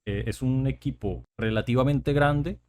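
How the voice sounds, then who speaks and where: A man's voice: medium pitch, a little slow, darkish tone. Colombian man hosting a chatty podcast. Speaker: podcast host. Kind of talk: casual podcast chat.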